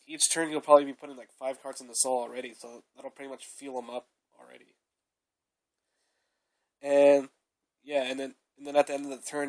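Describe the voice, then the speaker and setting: A man's voice: mid-range voice, poor audio. A young man with a cold, giving a rambling, casual review of his card deck and filling his talk with "though" and "uh". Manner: rambling, repeated fillers like "though" and "uh". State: sick with a cold, coughing.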